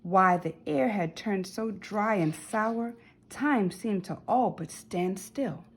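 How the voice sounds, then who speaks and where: Expressive woman storyteller, slightly husky. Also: mid-range voice, slow pace. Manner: dramatic, emphatic storytelling. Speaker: storybook narrator. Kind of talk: expressive children's read-aloud.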